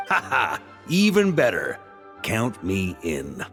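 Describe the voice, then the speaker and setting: Carefree adventurer, hugely swooping pitch, jovial and coaxing, anime game, long reverb, music behind. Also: male voice, huge pitch swings, takes it slowly, fairly bright tone, full character voice. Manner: breezy, jovial. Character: carefree, fun-loving male adventurer, jovial and persuasive.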